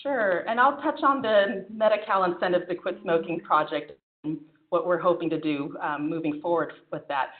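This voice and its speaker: A woman's voice: on the low side, moderate pace. A woman physician giving a conference talk and answering questions, in a conversational way with frequent fillers and restarts. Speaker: physician presenter. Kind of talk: conference presentation with Q&A. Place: conference room. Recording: phone-like echoey room sound.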